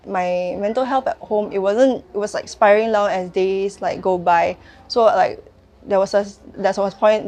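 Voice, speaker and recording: A woman's voice: medium pitch, a little mellow. A Singaporean woman in her early twenties telling her story in a chatty way, full of "like" fillers. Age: 20s. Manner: chatty, frequent "like" and "lah" fillers. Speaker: young mother sharing her story. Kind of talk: personal testimony.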